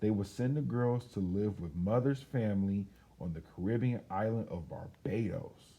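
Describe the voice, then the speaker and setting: A man reading a children's storybook aloud as a storyteller, in an expressive, chatty way with casual asides. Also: on the low side, normal speed, husky.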